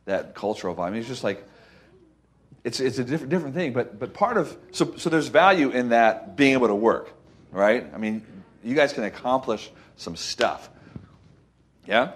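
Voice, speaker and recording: A man giving an informal, conversational sermon as a preacher, folksy and halting, repeating phrases and checking with his listeners for agreement. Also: medium pitch, expressive, husky. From Costa Rica.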